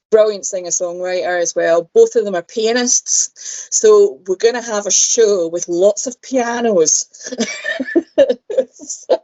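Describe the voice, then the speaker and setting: A woman's voice: fairly low pitch, darkish tone, dull audio. A Scottish woman with a Glaswegian accent, chatting as a musician guest on an interview podcast, filling gaps with frequent "um"s. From Scotland. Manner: chatty, frequent "um"s, laughs easily. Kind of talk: casual interview chat.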